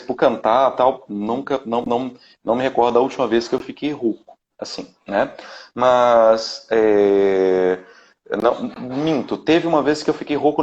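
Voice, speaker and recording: A man's voice: medium pitch, darkish tone, slight background noise. A Brazilian man in his thirties, a vocal coach, talking in a casual live interview with many restarts and tag questions over a video call. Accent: Brazilian Portuguese. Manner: rambling, frequent "né?" tags and restarts. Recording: dull lo-fi live-stream audio.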